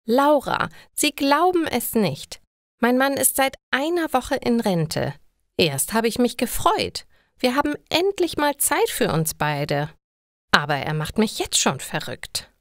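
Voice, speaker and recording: A woman's voice: fairly high pitch, big pitch swings, takes it slowly, no echo, studio quality, exaggerated voice. A woman voicing an exasperated older housewife in a story, complaining in a dramatic, emphatic way. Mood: despairing. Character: exasperated older housewife with a newly retired husband.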